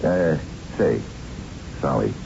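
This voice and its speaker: An old gym regular in a radio play, with an extremely deep, creaky voice. He is halting and evasive, musing as he talks. The old broadcast is dull and clearly noisy. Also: animated, full character voice. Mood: casual, curious. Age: older. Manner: halting, hesitant. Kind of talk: radio drama acting.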